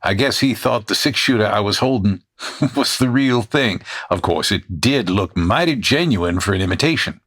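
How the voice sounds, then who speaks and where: A man voices a bashful traveling salesman from the old West who keeps chuckling at himself as he rambles through his story. His pitch rises and falls a lot, and his talk has a folksy frontier drawl. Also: lively intonation, moderate pace, fairly bright tone, husky. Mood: sheepish. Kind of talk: comedy audio drama acting.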